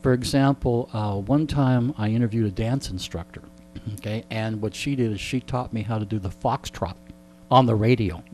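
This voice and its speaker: A man telling jokey anecdotes in conversation as a radio interviewee, with deadpan punchlines and repeated phrases for effect. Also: on the low side, normal speed, slight background noise. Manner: jokey, deadpan storytelling with rhetorical repetition. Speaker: radio host being interviewed. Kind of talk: animated comic anecdotes in conversation.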